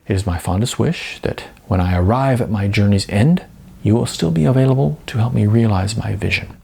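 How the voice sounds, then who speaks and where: A man's voice: on the low side, brisk, creaky, darkish tone, husky. A man voicing a pompous, earnest male screenwriter in an audiobook, speaking in a stilted, formal, grandiose manner. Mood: solemn resolve. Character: pompous, earnest male aspiring screenwriter leaving a phone message.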